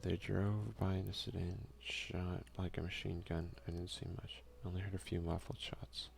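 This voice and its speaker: A soft-spoken man doing an ASMR let's play, reading game text aloud and commenting in a hushed voice that at times slips into a whisper. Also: low voice, flat delivery, normal speed, vocal fry, a little hiss or hum. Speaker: gaming video creator and player.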